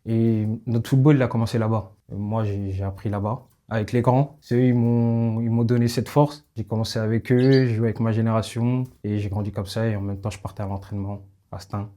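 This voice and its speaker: A young man, a footballer, answering interview questions in relaxed, plain street talk. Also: lowish voice, brisk, hardly any pauses. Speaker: footballer guest. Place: outdoors in a neighbourhood.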